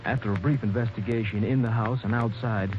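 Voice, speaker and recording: A man giving measured, first-person narration in a 1940s radio mystery. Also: on the low side, fairly even intonation, over music. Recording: dull old radio recording with hiss.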